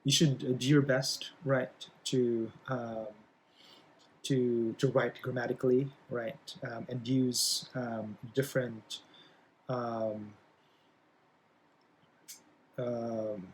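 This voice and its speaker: A man's voice: mid-range voice, level tone, very slow, long pauses, a little hiss or hum, low-quality recording. Man, hesitant lecturing with fillers. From Philippines. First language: Bisaya. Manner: hesitant, many fillers, frequent tag "right?". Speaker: college English instructor. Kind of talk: casual recorded class introduction.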